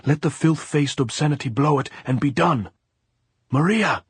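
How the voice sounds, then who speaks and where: A man's voice: on the low side, steady tone, moderate pace, husky, no echo. Man putting on a fierce, commanding guerrilla woman. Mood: raging. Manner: scolding, blunt, archaic phrasing. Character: fierce, commanding, raging deep-voiced middle-aged woman guerrilla.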